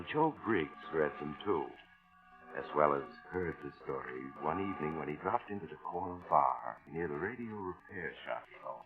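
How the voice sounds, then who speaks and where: An actor playing a mysterious traveler, a suave and ominous host confiding eerie tales in 1940s radio style. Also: male voice, extremely low pitch. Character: sinister, genial mysterious traveler storyteller. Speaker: host and narrator. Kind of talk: 1940s radio suspense narration. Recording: tinny vintage radio recording, loud music.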